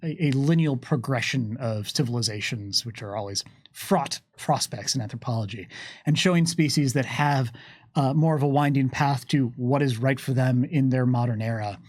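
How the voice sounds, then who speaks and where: A man's voice: mid-range voice, moderate pace, darkish tone, no echo, studio quality. A man answering fan questions in casual, unscripted talk, mixing wordy academic phrasing with slang. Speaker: game developer answering fan questions on a stream.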